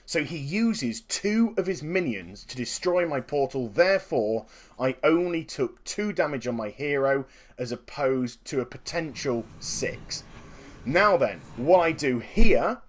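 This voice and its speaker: Slightly croaky upbeat man. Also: on the high side. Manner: upbeat, chatty, explains each move. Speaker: game streamer and commentator. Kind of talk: gameplay commentary.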